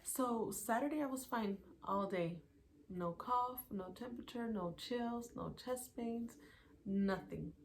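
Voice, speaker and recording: A woman's voice: a little high, takes it slowly. Chatty woman vlogger, faint background hiss. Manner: chatty, recounts day by day with fillers, reads from notes. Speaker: YouTuber. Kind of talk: casual vlog storytelling.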